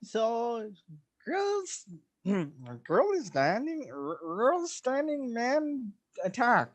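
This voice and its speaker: A woman describing comic panels in halting, jokey broken English during a comedic reading. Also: a little high, very animated.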